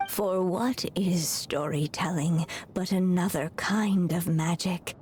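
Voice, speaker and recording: An actress voicing an elderly woman writer in a game cutscene, speaking softly and half-whispering, wistful and thoughtful. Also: lowish voice, slow pace, a little hiss or hum. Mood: reflective. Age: older. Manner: soft, breathy, half-whispered delivery.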